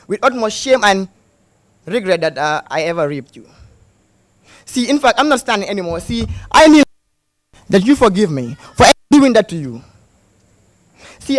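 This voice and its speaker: A man's voice: high voice, no room sound. A man performing spoken word poetry at a slam, pleading and intense, leaning on repeated emphasis. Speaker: slam poet.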